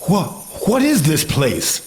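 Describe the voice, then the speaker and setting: An actor playing an earnest, dutiful young martial arts hero in a video game, speaking formally. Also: male voice, a little high, wildly swooping pitch, slow, soft background music, heavily acted. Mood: puzzled. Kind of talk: video game cutscene voice acting.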